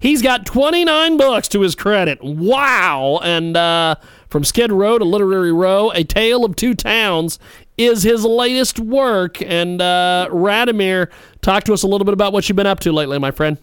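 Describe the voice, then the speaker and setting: A man's voice: sits high, expressive, moderate pace, fairly bright tone, clean tone, no echo, studio quality. A man hosting a radio broadcast, introducing his guest in an upbeat, promotional way. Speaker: radio host.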